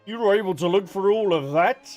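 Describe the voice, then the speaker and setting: A man with a fairly high voice chats through a game stream, playful and teasing. Soft music plays behind him as he comments and reads on-screen text out in a casual way. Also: brisk.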